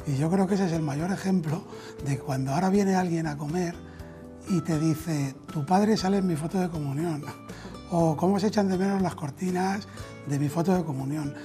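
A Castilian Spanish man in his thirties talks conversationally as a restaurateur being interviewed. He is miked on a lapel in a dining room, with music clearly playing behind him. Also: a little high, moderate pace, dark tone. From Spain. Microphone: lapel mic.

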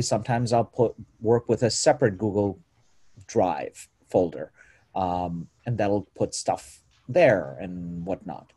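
A man with a lowish, mellow voice talks through a coding tutorial over a video call. His delivery is informal, with quick asides and frequent self-corrections. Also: slightly rough. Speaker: meetup presenter. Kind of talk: live screen-share tutorial.

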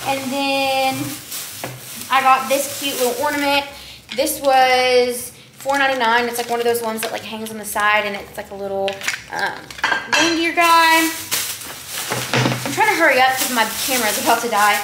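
A high-voiced young woman with a twang chats through a shopping haul, rambling and filling gaps with "like" and "um". She is recorded by a camera on the counter in her kitchen, which sounds roomy and echoey. Clear household noise runs underneath. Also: moderate pace. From Texas. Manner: chatty, rambling, lots of likes and ums. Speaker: vlogger. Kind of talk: casual haul chat to camera. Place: home kitchen, indoors. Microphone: camera on the counter at a distance.

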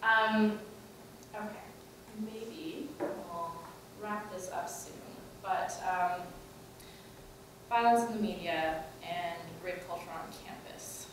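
A woman's voice: on the low side, slow, very echoey, noisy background. A young woman giving a conference talk, conversational, with many fillers and rhetorical questions, recorded from a far camera in a large lecture room. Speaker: student organizer giving a talk. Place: large lecture room, in front of a projector screen. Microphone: far camera, no mic.

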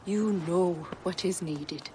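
A mysterious druid woman of the forest for a video game. Her voice sits on the low side, and she speaks gravely, as if every line were a rite. Faint ambient noise lies behind her. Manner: incantatory, grave, measured. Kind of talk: video game cutscene acting.

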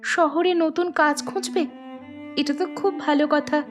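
A woman's voice: high voice, steady tone, a little slow, faint music behind. A woman playing a poor farmer's concerned, supportive young wife in a cartoon. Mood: encouraged.

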